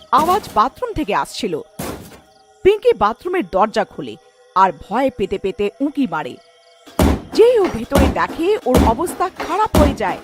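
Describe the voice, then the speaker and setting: A woman reads a spooky cartoon story as its narrator. Her voice is high and very animated, dramatic in delivery, with clear music playing behind it. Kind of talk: scripted storybook narration of a horror tale.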